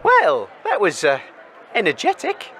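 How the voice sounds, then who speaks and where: Guy as flustered apologetic reporter, bright lively high voice, crowd behind him. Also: a little slow, soft background music, full character voice. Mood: embarrassed. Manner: hesitant, embarrassed, stammering. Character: awkward, apologetic roving event reporter. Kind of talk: comic audio drama commentary.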